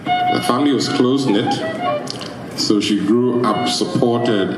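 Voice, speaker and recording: Man, Ghanaian accent, formal measured reading, very echoey sound, loud flute music. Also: medium pitch, wildly swooping pitch. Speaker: funeral biography reader.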